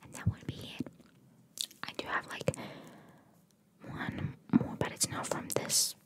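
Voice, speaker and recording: A woman hosting ASMR, reading trivia in a breathy whisper with chatty, informal, opinionated asides. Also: a little slow. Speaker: ASMR host.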